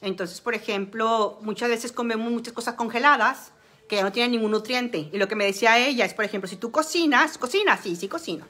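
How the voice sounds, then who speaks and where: A woman's voice: fairly high pitch, fairly quick, fairly bright tone. A woman, chatting casually to a phone camera at home about wellness products, with many fillers. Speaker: beauty and wellness vlogger. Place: small room at home, indoors. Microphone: phone camera at arm's length.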